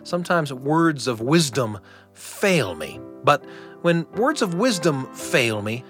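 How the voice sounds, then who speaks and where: A middle-aged man hosting a radio show, telling a personal family story in a gentle, reflective, earnest way. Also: on the high side, sing-song, slow pace, faint music behind.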